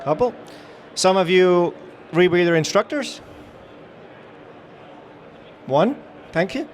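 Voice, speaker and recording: A man's voice: sits high, lively intonation, takes it slowly. A middle-aged man from the Netherlands with a Dutch accent, giving a chatty, upbeat talk to a live audience on a trade-show floor, with many fillers and restarts.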